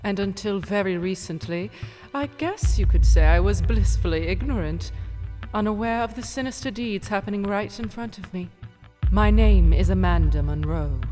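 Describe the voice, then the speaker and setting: A woman acts a young upper-class heiress in a radio drama. She is poised and determined, with very expressive pitch and a middling register. Light music sits behind her. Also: lightly acted. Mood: solemn, resolved. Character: young aristocratic heiress, resolute, coolly determined debutante.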